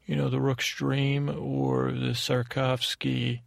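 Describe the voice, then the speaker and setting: A man with a heavily creaky, gravelly voice rambles through a bedtime monologue. His delivery is halting and digressive, meant to soothe, and stays within a narrow pitch range. The sound is close and dry. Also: level tone, dry recording. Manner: lulling, soothing. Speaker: podcast host. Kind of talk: rambling bedtime monologue to lull listeners to sleep.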